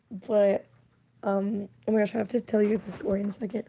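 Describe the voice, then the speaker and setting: A woman streaming live, chatting casually in a rambling, giggly way with lots of fillers, her voice hoarse as she loses it. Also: mid-range voice, warm tone. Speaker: livestreamer.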